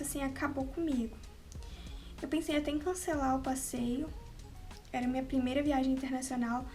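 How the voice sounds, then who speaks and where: A woman's voice: high-pitched, a little mellow. A woman telling a travel story to the camera in an unscripted, chatty way, often laughing and tagging on "né". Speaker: travel vlogger. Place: at home, with cats nearby.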